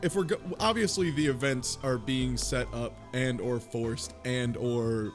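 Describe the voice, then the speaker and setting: A man's voice: mid-range voice, unhurried, soft background music. A man chatting casually and speculatively in a group, swearing freely and acting out imagined lines as he goes. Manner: sweary, acts out imagined quotes. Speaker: podcast panelist discussing a visual novel.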